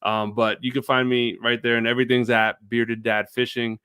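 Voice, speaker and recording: A man's voice: mid-range voice, flat delivery, normal speed, sharp tone, clear, resonant tone, no room sound. Male video creator as show guest, clean resonant mid-range voice, informal conversational delivery. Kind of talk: casual chat.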